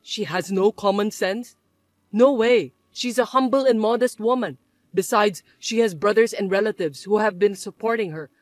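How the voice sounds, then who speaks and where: A woman voicing a calm adult son and husband who explains things to his mother in a firm, patient way. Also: medium pitch, normal speed, dry recording, lightly acted. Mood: pleading. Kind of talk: dramatized story voice acting.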